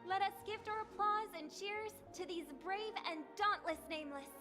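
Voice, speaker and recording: A woman's voice: very high-pitched, normal speed. An actress voicing a gracious young woman delivering a formal festival speech in a poised, ceremonial manner. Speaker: festival speaker. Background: game music.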